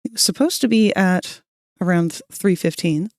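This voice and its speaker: A woman's voice: lowish voice, moderate pace, no room sound, clean studio sound. Chatty woman streamer with a scratchy voice. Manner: chatty, greets viewers, laughs and clears throat often. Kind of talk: casual stream chat. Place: cold room at home.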